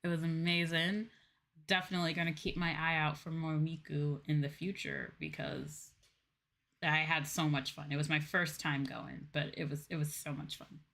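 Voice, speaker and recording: A woman's voice: low voice, fairly even intonation, moderate pace, gravelly, fairly bright tone. A woman livestream host chatting casually to her viewers in an informal, gushing way. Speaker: game livestream host, VTuber.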